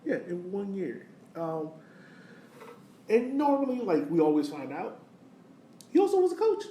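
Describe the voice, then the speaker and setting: A man's voice: sits high, big pitch swings, a little slow, warm tone. An American man co-hosting a sports podcast, talking soccer casually and giving his opinions in a conversational way. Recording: roomy, distant mic, faint noise.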